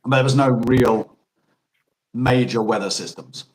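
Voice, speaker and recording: A man's voice: mid-range voice, unhurried, slight background noise, rough recording. A man with an Australian accent, chatting casually and telling rambling stories full of restarts and self-corrections. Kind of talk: casual chat.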